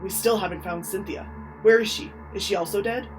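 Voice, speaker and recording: A controlled police inspector, read by a woman, probes a suspect in a crime horror story. The voice is medium in pitch with music clearly behind. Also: fairly quick, close to an everyday voice, rough recording. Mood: probing, incredulous.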